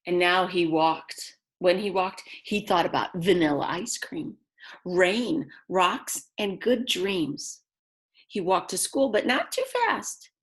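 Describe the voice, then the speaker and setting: A woman's voice: on the low side, takes it slowly. A woman teacher reading a children's book aloud, speaking expressively and directly to young listeners with chatty, simple asides.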